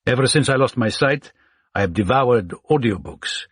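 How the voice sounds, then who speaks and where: A man voicing a blind older male Egyptian scholar who is wise and witty, speaking in courteous, measured, formal phrases. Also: mid-range voice, animated, husky, dry recording, clean studio sound. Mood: calm.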